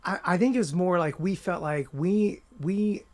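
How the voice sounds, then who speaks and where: Guest author, man, slightly high voice, chatty and animated. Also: normal speed. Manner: animated storyteller, many restarts and "you know". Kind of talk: casual conversational interview.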